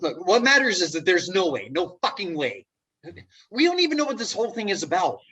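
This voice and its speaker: A man's voice: sits high, very animated, a little fast, bright, forceful tone, full character voice. A man playing an anxious, sceptical office worker in a comedy table read over a video call, sputtering with exasperation. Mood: exasperated.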